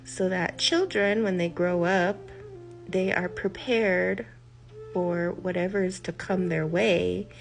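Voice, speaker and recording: Slow, gravelly older woman over music. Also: fairly low pitch. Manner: slow, deliberate, emphatic repetition with trailing phrases. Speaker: healer giving a talk. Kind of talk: reflective spoken monologue. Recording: music behind the voice.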